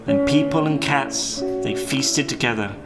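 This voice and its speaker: A man reading a children's story as a narrator, in a measured, dramatic storytelling delivery. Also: medium pitch, wildly swooping pitch. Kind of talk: scripted storybook narration for a live puppet show. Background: plucked guitar music.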